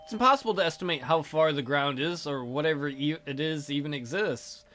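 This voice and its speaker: A man's voice: fairly high pitch, brisk, sharp tone. A man hosting a let's play, reading a visual novel aloud theatrically with goofy, crude joking commentary.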